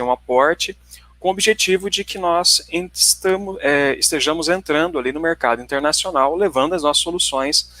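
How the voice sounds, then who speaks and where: A man's voice: a little high, pushed and bright, no echo. A man in his forties with a Paraná Brazilian accent, giving a conversational company presentation in a tech webinar and often checking in with his listeners. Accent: Paraná Brazilian Portuguese. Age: 40s.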